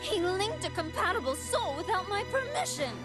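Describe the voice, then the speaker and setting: A sadistic android villainess, high-pitched and haughty. Her taunts swing up and down with smug theatrical cruelty. Music plays behind this anime game voice. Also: big pitch swings, moderate pace. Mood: cool contempt. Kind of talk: English anime video game voice acting. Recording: loud music under the voice.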